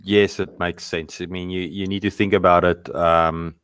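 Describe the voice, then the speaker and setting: A man with an Afrikaans accent, a guest trader slowly explaining his view of the market with some hesitations. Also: low-pitched, flat delivery, fairly bright tone, smooth, dry recording, clean studio sound.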